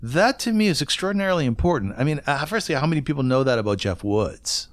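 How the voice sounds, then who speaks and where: A man's voice: fairly high pitch, expressive, quick pace. A man hosting a conversational podcast interview, speaking earnestly and often checking for agreement.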